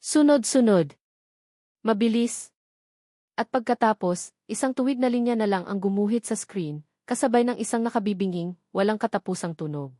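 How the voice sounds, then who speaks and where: A woman tells a scripted story as a storyteller. Her delivery is measured and sentimental, with phrases set out like a storybook. The sound is very dry, free of any room echo. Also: mid-range voice, mellow.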